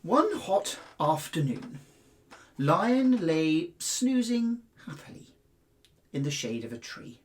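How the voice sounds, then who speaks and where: Slow, expressive British man telling stories. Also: on the high side. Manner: expressive, careful phrasing for children. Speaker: school headmaster reading to pupils. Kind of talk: children's storytelling.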